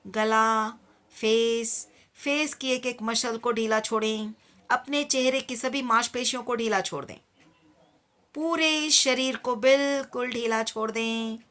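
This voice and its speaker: A woman teaching guided meditation, giving calm, repeated instructions in a soothing manner. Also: fairly high pitch.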